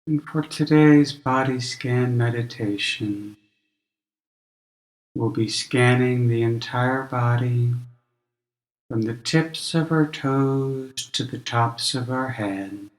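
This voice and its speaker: Slow-paced man guiding meditation, roomy sound. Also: medium pitch. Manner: gentle, measured, instructional with long pauses. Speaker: meditation teacher. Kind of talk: guided meditation.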